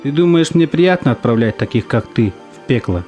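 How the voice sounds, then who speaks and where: An actor playing a gruff, weary military recruitment commander in an audio drama, curt and stern as he questions young volunteers. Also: medium pitch, expressive, normal speed, darkish tone, lightly acted. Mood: reluctant. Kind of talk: stage-style audio drama acting. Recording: music under the voice.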